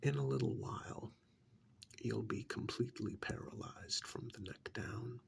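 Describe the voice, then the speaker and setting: A man playing a calm, menacing killer in a soft-spoken ASMR horror role-play, hushed and deliberate, with a condescending, musing manner. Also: medium pitch, a little hiss or hum. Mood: pleased, appraising. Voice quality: breathy half-whisper with gravelly creak. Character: calm, menacing male killer who preserves victims as art.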